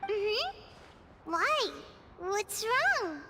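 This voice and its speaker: A woman voicing a tiny, innocent, excitable childlike companion in a video game, squeaky, curious and animated. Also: very slow, in a large hall, heavy background noise, exaggerated voice. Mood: curious, puzzled. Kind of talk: scripted video game dialogue. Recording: loud music behind.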